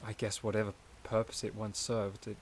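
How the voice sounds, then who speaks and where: A man playing a gentle, shy, caring forest companion in a soft-spoken ASMR roleplay, with a hesitant, slightly stammering delivery. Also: on the low side, monotone, moderate pace, a little hiss or hum, close to an everyday voice. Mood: soothing, cozy.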